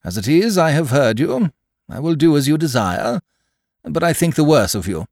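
A hot-headed country squire from a storybook, put on by a male narrator. He blusters and exclaims at a raised pitch, loud and wildly animated. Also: normal speed, gravelly, no echo, studio quality, full character voice. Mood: blustering, offended.

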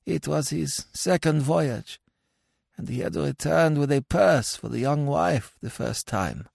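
A man's voice: on the high side, gravelly, a little mellow, dry recording, studio quality, voice pushed up, full character voice. A man voicing a mournful dead villager of sixty, speaking archaic words from the grave in a storybook. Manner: slow, mournful, archaic speech. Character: mournful sixty-year-old dead Breton villager recalling losses. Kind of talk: dramatic storybook reading.

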